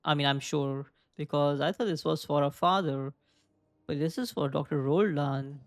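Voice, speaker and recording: Gravelly young man rambling over gameplay. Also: fairly high pitch, moderate pace, no echo, studio quality. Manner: rambling, thinks aloud with many "like" fillers. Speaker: gaming video creator. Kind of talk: casual let's play commentary.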